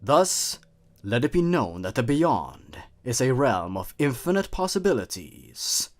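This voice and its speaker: A man voicing an ancient male time being, merciless, grave, slow menacing delivery, lively pitch swings, horror story.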